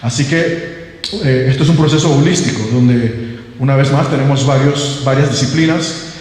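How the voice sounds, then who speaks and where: A young man from Panama, whose first language is English, speaks as a linguist at a seminar, stumbling through restarts and fillers. His middle-pitched voice rings through a very echoey hall. The long reverb trails after each phrase. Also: moderate pace, a little mellow. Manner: hesitant, many fillers and restarts. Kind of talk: academic presentation. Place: seminar room.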